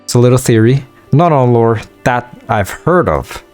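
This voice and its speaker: A man hosting a casual let's-play, rambling with many fillers, his voice a bit higher at night. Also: animated, normal speed, darkish tone, soft background music. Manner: rambling, filler-heavy, easygoing. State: voice higher than usual at night. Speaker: gaming channel host.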